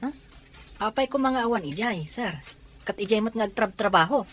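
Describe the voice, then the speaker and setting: A woman's voice: medium pitch, animated, moderate pace, fairly bright tone. A woman playing a reluctant, easily surprised employee in an audio drama, exclaiming and grumbling in an Ilocano accent.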